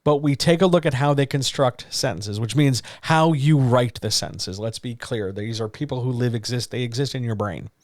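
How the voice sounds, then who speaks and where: Deep-voiced guy explaining writing stuff, lots of ums and likes, dry close mic. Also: moderate pace, hardly any pauses, a little mellow, no room sound. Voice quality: deeper voice. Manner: loose, conversational, full of fillers like so, like and um. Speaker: writing coach. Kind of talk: live-streamed Q&A lesson.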